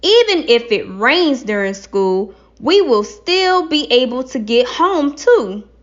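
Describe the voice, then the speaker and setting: A woman voicing an earnest schoolchild in a classroom play, with exaggerated, clearly enunciated delivery. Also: sits high, big pitch swings, slow pace, heavily acted. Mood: eager. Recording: slightly dull lo-fi recording.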